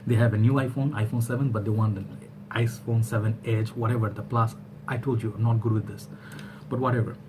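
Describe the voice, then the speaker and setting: A man's voice: lowish voice, brisk, noisy background. A man in his thirties from Bangladesh, speaking in a casual, rambling opinion monologue to a webcam in a small room at home, full of fillers and restarts. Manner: animated, gesturing hands, rambling with fillers and restarts. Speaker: vlogger.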